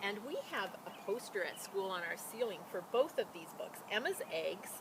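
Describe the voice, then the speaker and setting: Animated woman storyteller, noisy outdoor recording. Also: mid-range voice, normal speed. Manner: animated, explains things simply for children. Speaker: school storyteller reading a picture book. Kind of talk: casual introduction and expressive children's read-aloud. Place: outdoors beside a chicken coop.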